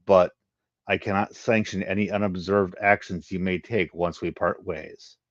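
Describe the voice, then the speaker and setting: A man voicing a stoic, guarded alien male in a sci-fi story, speaking in measured, formal phrases. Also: sits low, steady tone, no echo, highs cut off, natural voice.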